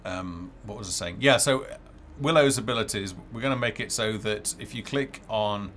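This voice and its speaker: A man's voice: on the low side, normal speed, a bit bright, slight background noise. A middle-aged man giving casual live commentary on a game he develops, hesitant and thinking aloud as he plays, on a headset. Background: game music.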